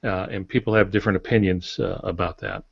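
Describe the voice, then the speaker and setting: Male author for podcast discussion: lowish pitch, plainspoken and colloquial, slightly muffled audio. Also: big pitch swings, fast, vocal fry, breathy edge. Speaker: author, podcast guest or panelist. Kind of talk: casual explanatory podcast talk. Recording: dull, roomy podcast audio.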